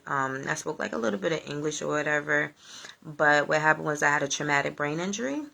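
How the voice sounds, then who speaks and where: A woman whose first language was Spanish talks to her viewers in a loose, rambling livestream. Her voice sits low and carries a gravelly fry, yet its tone stays bright and forward. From United States, Honduran family. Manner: rambling, chatty, many fillers. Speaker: livestream host. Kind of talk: casual reflective live chat.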